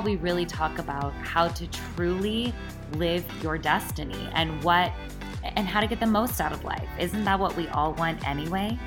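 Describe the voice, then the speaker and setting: A woman hosts her podcast in an upbeat, gushing chat. Soft music plays faintly behind her voice. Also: fairly low pitch. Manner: bubbly and gushing. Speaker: podcast host.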